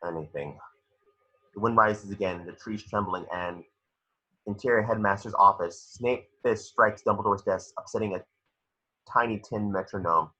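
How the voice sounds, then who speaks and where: A man's voice: on the low side, steady tone, moderate pace, bright, forceful tone, slightly rough, poor audio. A man reading scripted screenplay stage directions as narrator of a table read.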